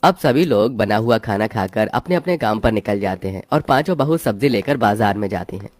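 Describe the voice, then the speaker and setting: A man's voice: fairly low pitch, expressive, fairly quick, a little mellow. A man reading scripted narration for a cartoon moral story. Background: background music.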